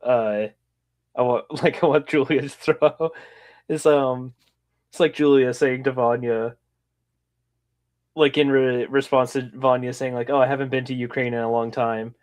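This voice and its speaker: Slow, hesitant man playing a tabletop game. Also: medium pitch, steady tone, a little mellow. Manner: hesitant, many fillers, giggly. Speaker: player. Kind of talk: casual chat at a game table.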